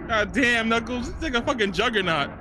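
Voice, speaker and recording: Young man, high pitch, lively intonation, slangy, swearing, excitable reaction host, close mic. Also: fairly quick. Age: young adult. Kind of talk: casual movie reaction commentary. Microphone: close studio microphone, headset monitoring.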